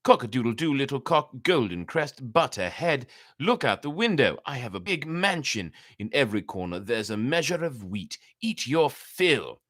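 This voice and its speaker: A sly storybook fox, played by a man, coaxing and flattering with big swings in pitch. The voice is lifted and sing-song, close and dry as it lures its prey. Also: moderate pace, no echo. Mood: sing-song luring.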